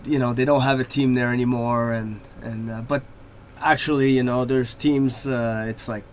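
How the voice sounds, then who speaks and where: Man, easygoing radio guest, mid pitch, faint hiss behind. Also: normal speed. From Laval, Quebec, Canada. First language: French. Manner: relaxed, rambling with many fillers. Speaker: hockey player guest. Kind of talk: radio interview.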